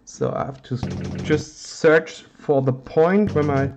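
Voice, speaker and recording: A man with a German accent, a native German speaker, giving an informal hands-on synthesizer tutorial, haltingly searching for words in non-native English. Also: on the high side, vocal fry, muffled recording, over music.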